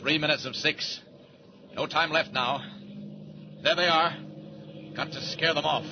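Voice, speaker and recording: An actor playing a bold flying superhero in a 1940s radio drama, talking to himself in urgent clipped phrases. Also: male voice, a little high. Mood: determined. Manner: urgent, clipped, narrating own actions. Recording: noisy muffled 1940s radio, rushing sound.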